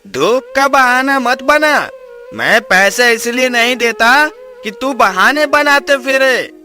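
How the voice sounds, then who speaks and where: A man acts a cruel landlord from a moral storybook. His voice is high and piercingly forceful, dripping with harsh contempt, over background music. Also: lively intonation, slow pace, exaggerated voice. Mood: angry scolding. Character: cruel, mocking male village landlord. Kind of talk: acted Hindi moral storybook tale. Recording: music behind the voice.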